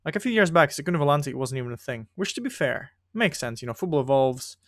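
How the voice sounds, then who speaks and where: A man's voice: mid-range voice, lively intonation, fast, fairly bright tone, no echo, clean studio sound. A man whose first language is not English, chatting casually and rambling as he comments on a football management game he is playing. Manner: chatty, rambling, breaks off and restarts sentences. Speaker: gaming video creator.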